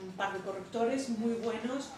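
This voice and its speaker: A woman's voice: fairly high pitch, unhurried. A woman publisher talking at a public interview, hesitant with many fillers and restarts. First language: not Catalan. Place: bookshop event room. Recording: echoey hall, distant mic, noisy.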